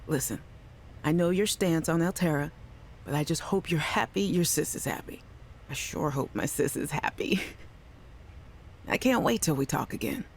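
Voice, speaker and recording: An actress playing a cheerful, affectionate young scientist leaving voice messages for a little sister in a video game. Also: female voice, sits low, lively intonation, normal speed, long pauses, a little hiss or hum. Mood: excited, affectionate. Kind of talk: scripted audio message voice acting.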